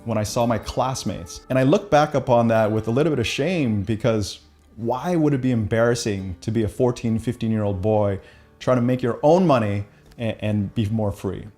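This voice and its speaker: Measured man in a documentary interview. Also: medium pitch, warm tone, faint music behind.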